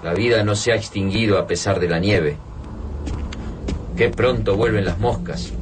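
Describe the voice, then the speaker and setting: An Argentine actor playing an observant, analytical resistance survivor in a radio drama, speaking in a measured way. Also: male voice, on the low side, recorded from a distance, background noise, close to an everyday voice. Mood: reflective. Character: analytical male resistance survivor in an invaded city.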